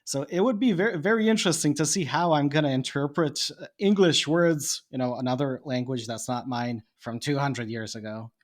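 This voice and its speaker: A man's voice: medium pitch, fairly quick, hardly any pauses. A man from Bosnia, a podcast guest, speaking English with a Bosnian Serbian accent. He muses and thinks aloud in casual chat, often laughing.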